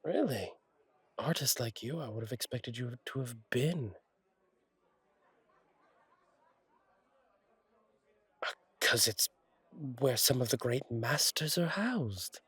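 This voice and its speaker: A man playing a friendly, courteous angel bartender in an intimate roleplay audio, speaking gently with thoughtful pauses. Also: medium pitch, expressive, husky, no room sound, full character voice. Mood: teasing, conversational. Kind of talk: intimate roleplay audio acting, one side of a conversation.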